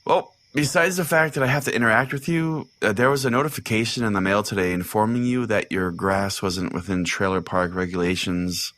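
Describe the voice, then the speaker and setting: A man's voice: on the low side, steady tone, brisk, few pauses, a bit bright, close to an everyday voice. A man playing an irritable, foul-mouthed pastor who hosts a comedic call-in radio show, sarcastic and theatrical in his delivery. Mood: irritated ranting. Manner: exasperated, sarcastic, theatrical storytelling.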